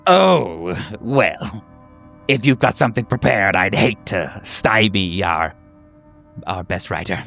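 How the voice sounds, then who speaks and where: A man playing a sinister, whimsical doctor in a horror role-playing game, gravelly and husky, rambling haltingly with theatrical politeness. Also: lowish voice, expressive, a bit bright, soft background music, exaggerated voice. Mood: amused, polite. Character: sinister, whimsical male doctor, musing and menacing. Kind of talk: improvised horror role-play.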